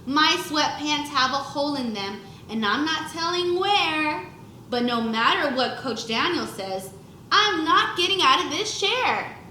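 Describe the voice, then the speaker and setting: A woman's voice: high voice, animated, a little slow, sharp tone, reverberant, a little hiss or hum, rough recording. A woman teacher reading a children's book aloud expressively to young pupils, acting out the lines and adding short explanations. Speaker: first grade teacher.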